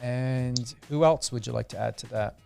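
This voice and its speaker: A man hosting a radio interview, upbeat and earnest, handing out shout-outs to listeners. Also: mid-range voice, moderate pace, vocal fry, soft background music. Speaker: radio host.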